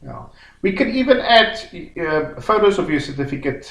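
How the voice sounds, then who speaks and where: A man's voice: a little high, sing-song, normal speed, creaky, fairly bright tone. A South African man in his fifties, talking casually with many fillers and restarts while presenting a service and his own work history. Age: 50s. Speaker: small business owner presenting a service. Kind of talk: casual promotional talk and self-introduction. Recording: echoey room with faint background noise.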